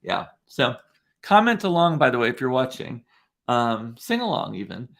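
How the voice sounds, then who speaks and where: A man's voice: medium pitch, big pitch swings, normal speed, gravelly, fairly bright tone. Stuffy-nosed guy in his 40s rambling on his home livestream, medium voice. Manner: chatty, rambling storyteller. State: congested, sniffly. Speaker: livestream host. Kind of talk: casual solo livestream chat. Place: room at home.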